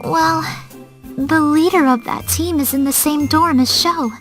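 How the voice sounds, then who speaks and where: A polite girl from an anime mech game, very high-pitched and a little hesitant. Her manner is mild, and music plays clearly behind her. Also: steady tone, a little slow. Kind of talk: anime-style visual novel voice acting.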